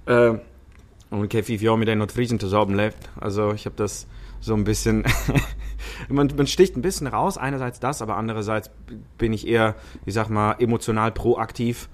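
A talkative man from Switzerland with a fairly low voice. He chats easily as a podcast guest, with many fillers and quick laughs. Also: fairly even intonation. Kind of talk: casual chat.

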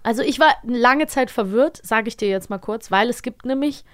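A woman's voice: on the high side, normal speed. A woman co-hosting a magazine about radio plays, talking casually and thinking aloud as she reviews. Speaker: radio drama magazine co-host.

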